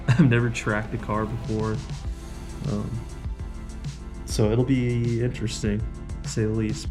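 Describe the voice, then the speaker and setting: A man talking casually to the camera as a vlogger, laid-back and swearing now and then, his nose stuffy from allergies. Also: fairly low pitch. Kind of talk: casual vlog talk to camera.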